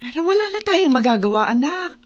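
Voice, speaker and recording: A woman's voice: sits high, sing-song, fairly quick, muffled recording. An anxious, pleading mother in a Filipino radio drama. Her middle-aged voice rises and falls widely with emotion and hesitates as she goes. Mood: concerned, consoling. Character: worried, pleading mother of a grown daughter.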